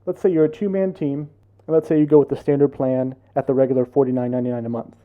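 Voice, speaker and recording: A man's voice: medium pitch, steady tone, quick pace, narrow, tinny audio. An American man presenting a product explainer as scripted voice-over narration for a tech consultancy. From United States.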